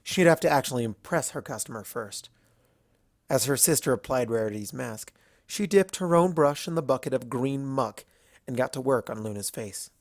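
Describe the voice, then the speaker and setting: A male narrator reads a piece of fiction from a script. His voice sits at a medium pitch, and the recording is dry with very little room sound. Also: animated, moderate pace, dry recording.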